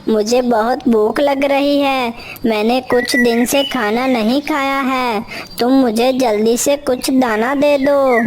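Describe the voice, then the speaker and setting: A woman voicing a lazy, hungry male crow in a children's cartoon, wheedling in a slow, pleading way. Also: high voice, fairly even intonation, voice pushed up. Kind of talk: Hindi children's cartoon story dialogue.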